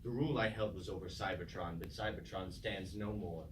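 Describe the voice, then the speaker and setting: Man acting a grave, low-voiced fallen robot king. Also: a little fast, close to an everyday voice. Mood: proud, sorrowful. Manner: stately, declamatory, archaic phrasing. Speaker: actor in a staged reading. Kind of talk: theatrical Greek-tragedy stage acting. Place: indoor room, staged reading at a university. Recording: distant, echoey recording, faint noise.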